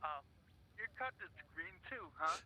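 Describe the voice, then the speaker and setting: A man with a higher voice joking in casual voice chat, heard through a clipped in-game radio filter. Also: lively intonation, moderate pace, slightly rough, no room sound, dull audio. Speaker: fellow player on voice chat.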